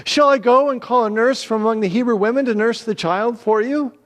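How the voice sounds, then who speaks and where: A man's voice: very high voice, a little fast, long reverb tail, voice pushed up. A man voicing an eager, polite young girl in a dramatic scripture reading. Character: eager young Hebrew girl, Moses's sister.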